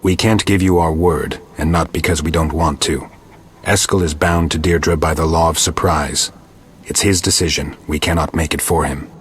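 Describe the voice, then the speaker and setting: An actor playing a calm, reasonable monster hunter in a video game, with terse, restrained delivery. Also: male voice, rumbling low, normal speed, breathy edge, full character voice. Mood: grave, firm.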